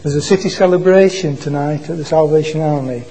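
A man gives an informal church sermon in a naturally quiet voice. His tone is mellow and a little higher than usual, and he often stops and restarts his words. Faint room ambience sits behind him. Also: a little hiss or hum, rough recording. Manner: halting, repeats and restarts words. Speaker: preacher. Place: church meeting. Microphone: microphone being adjusted.

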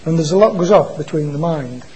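Male preacher, quiet voice, mellow, slightly raised pitch, halting restarts. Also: lively intonation, breathy edge, rough recording. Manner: halting, repeats and restarts words. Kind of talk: informal church sermon. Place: church meeting. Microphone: microphone being adjusted.

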